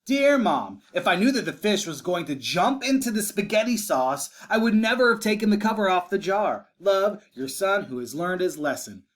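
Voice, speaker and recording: A man's voice: high voice, big pitch swings, normal speed, hardly any pauses, a bit bright. A man voicing an eager young boy in a storybook, earnest and wheedling as he tries to persuade his mother. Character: eager pleading young boy writing letters to mom. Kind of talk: storybook read-aloud for children.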